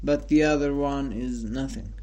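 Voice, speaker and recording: A man in his twenties hosting a solo talk about a quote, speaking in a halting, repetitive way with many fillers and restarts. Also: medium pitch, dark tone, poor audio. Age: 20s. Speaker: host of a quote podcast. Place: sitting at home in front of a mirror.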